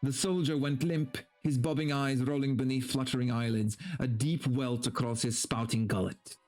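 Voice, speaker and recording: A man giving a dramatic, deliberate story reading, dropping into casual wry asides. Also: mid-range voice, steady tone, a little mellow, soft background music. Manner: theatrical, deliberate, breaks off into casual wry remarks. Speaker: streamer reading aloud.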